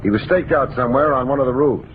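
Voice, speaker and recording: An actor playing a wry, wisecracking American hardboiled detective in an old-time radio drama, with dry, theatrical phrasing. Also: male voice, mid-range voice, quick pace, lightly acted. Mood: dry, narrating. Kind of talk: old-time radio detective acting and first-person narration. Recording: dull old radio broadcast, music, vehicle noise.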